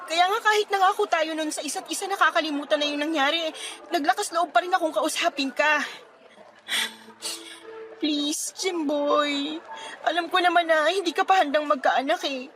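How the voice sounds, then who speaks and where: Hurt, high-voiced pregnant young Filipina. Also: female voice, moderate pace, fairly bright tone, soft background music. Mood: tearful, pleading. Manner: emotional, pleading and reproachful. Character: hurt, pregnant young woman confronting her friend. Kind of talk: radio drama acting in Tagalog.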